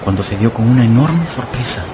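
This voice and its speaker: A man narrating a dramatised radio story in a measured, dramatic style. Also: medium pitch, lively intonation, crowd noise, noisy background.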